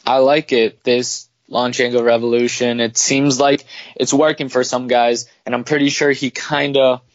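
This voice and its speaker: A man's voice: medium pitch, level tone, moderate pace, no echo, lo-fi sound. A man giving his opinions in a casual sports chat as a podcast co-host.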